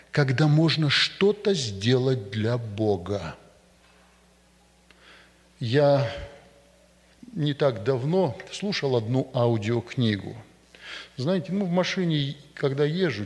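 Man preaching in church, slow and emphatic, voice rising and falling a lot. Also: medium pitch, fairly bright tone. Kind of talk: sermon. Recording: long reverb of a church hall.

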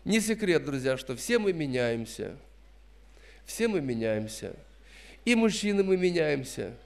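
A man's voice: fairly high pitch, very animated, a little slow, creaky. A man in his fifties preaching a sermon in an emphatic, admonishing way, repeating phrases for stress. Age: 50s. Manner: admonishing, didactic.

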